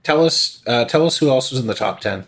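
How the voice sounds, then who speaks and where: A man's voice: mid-range voice, lively intonation, fairly quick, a bit bright. A man from Nova Scotia chatting casually about hockey as a podcast co-host. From Nova Scotia, Canada. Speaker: podcast co-host and quiz contestant. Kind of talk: casual sports chat.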